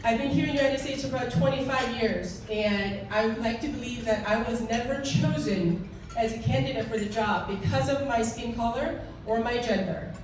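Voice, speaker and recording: A woman from South Korea speaks with a Korean accent in an earnest, halting way, restarting her sentences as she goes. Her voice sits fairly high, and she sounds far from the mic. The room behind her is very echoey, with clear background noise. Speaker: guest speaker. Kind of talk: speech to a club audience. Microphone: handheld mic.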